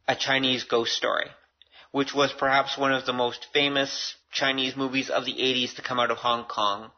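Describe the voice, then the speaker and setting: A man's voice: medium pitch, dull audio. A middle-aged Canadian man hosting a casual solo podcast, chatty and self-deprecating, given to rambling asides. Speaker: podcast host.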